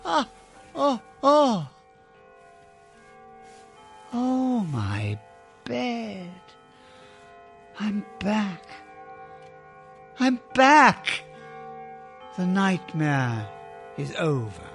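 High, flustered old miser. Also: male voice, huge pitch swings, very slow, vocal fry, pauses often, a bit bright, soft background music, heavily acted. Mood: alarmed, bewildered. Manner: stammering, flustered. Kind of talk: radio drama acting.

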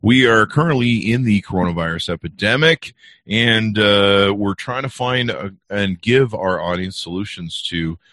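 A man's voice: fairly low pitch, lively intonation, normal speed, a bit bright, no echo. A man hosting a podcast, talking casually and rambling with many fillers and restarts. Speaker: podcast host. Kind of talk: casual interview chat.

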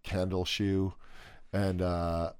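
A man in his forties with a deep voice, chatting casually as a podcast guest and telling animated stories with jokey asides. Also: very slow, smooth, no room sound, studio quality. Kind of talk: casual chat.

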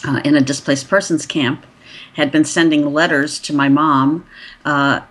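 A woman in her sixties, a podcast guest, telling her story in a chatty way with many pauses and restarts. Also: low voice, steady tone, a bit bright. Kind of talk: conversational interview.

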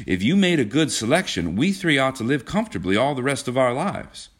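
A man's voice: low-pitched, animated, normal speed. A man voicing an earnest, romantic young man in a storybook reading. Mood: eager.

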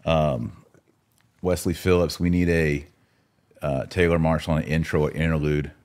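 A man with a notably deep voice hosts a casual podcast from a studio. He talks informally, with slang and a joking streak, as he chats and fields live comments. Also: fairly even intonation, normal speed, darkish tone. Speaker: podcast host.

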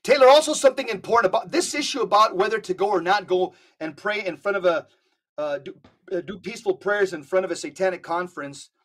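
A man speaking as a podcast guest, urging listeners with rapid lists of instructions. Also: high-pitched. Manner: urgent, exhorting, rapid lists. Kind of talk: casual podcast talk.

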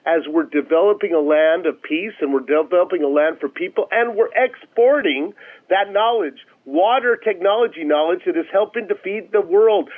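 A man with a high-pitched voice comes through a narrow telephone line as an interview guest. He talks in an emphatic, persuasive way, repeating phrases to press his points. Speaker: interview guest, nonprofit chief executive. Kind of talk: conversational radio interview. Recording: narrow, tinny phone line.

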